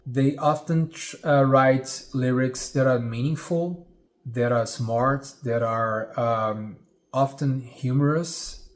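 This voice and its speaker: A man's voice: mid-range voice, darkish tone. A Brazilian man in his forties with a Portuguese accent, a musician speaking earnestly to camera from his living room. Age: 40s. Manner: earnest, reflective, pauses with fillers. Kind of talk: direct-to-camera vlog. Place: living room of a home, indoors. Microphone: camera at head-and-shoulders framing.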